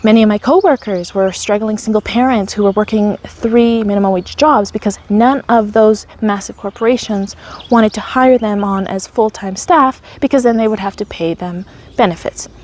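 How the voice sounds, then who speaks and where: A woman's voice: mid-range voice, moderate pace, darkish tone. A woman, telling her own story in a candid, wry, conversational way. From Canada. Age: 30s. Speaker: vlogger. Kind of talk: personal storytelling.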